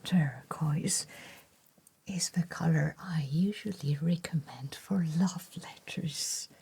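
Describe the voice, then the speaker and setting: Woman acting as courteous letter writer, breathy whisper, very slow, mellow and creaky, formal, ASMR roleplay. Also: low voice, full character voice. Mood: gentle, attentive. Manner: gentle, deliberate, formal salesmanship. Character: courteous, attentive professional letter writer in a stationery shop.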